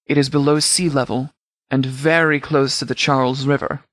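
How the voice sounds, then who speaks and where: A man's voice: mid-range voice, little pitch movement, moderate pace, fairly bright tone, no room sound, studio quality, natural voice. Stern, formal sheriff in command , acted by a man. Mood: grave, resolute. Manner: formal, clipped, authoritative military phrasing. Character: stern, composed male sheriff commanding a militia department. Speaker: commanding officer. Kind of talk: scripted video game dialogue.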